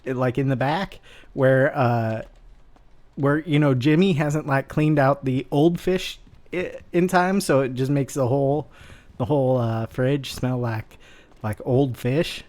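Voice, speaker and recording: A man's voice: medium pitch, unhurried, a little mellow, lightly acted. A man voicing a rambling, awkward character named Frank in an improvised roleplay, full of fillers and restarts. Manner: rambling, hesitant, lots of fillers. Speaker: roleplay streamer.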